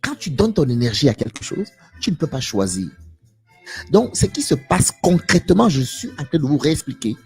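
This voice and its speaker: A man's voice: a little high, very animated, moderate pace, darkish tone, faint music behind. A man giving an impassioned live monologue to viewers, emphatic and preachy, repeating phrases and asking rhetorical questions. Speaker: live stream speaker.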